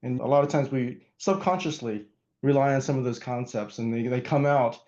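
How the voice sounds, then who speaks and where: A middle-aged man from Pennsylvania, a guest giving earnest, measured answers with small restarts. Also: medium pitch, a little mellow, dull audio. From Pennsylvania, USA. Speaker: guest, hospital security chief. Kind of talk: reflective interview answers.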